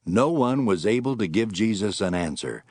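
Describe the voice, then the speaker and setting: A man's voice: lowish voice, expressive, normal speed, darkish tone, dry recording, clean studio sound. A man reading scripted narration for an audio Bible drama as its storyteller.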